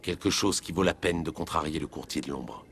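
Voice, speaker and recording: An actor playing a keen, determined alien police officer in a video game, confident and matter-of-fact. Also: male voice, very deep voice, brisk, a bit bright, a little hiss or hum.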